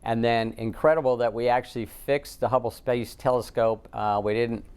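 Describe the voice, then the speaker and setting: A man with an American accent answering interview questions as an astronaut guest, halting often with ums as he thinks. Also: medium pitch, fairly even intonation, darkish tone, smooth.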